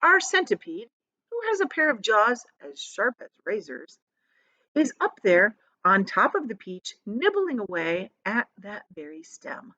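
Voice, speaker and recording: A woman voicing a kind, gentle, confident ladybug in a storybook, explaining things in a reassuring, eager way. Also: mid-range voice, sing-song, measured pace, exaggerated voice. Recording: dull, lo-fi sound.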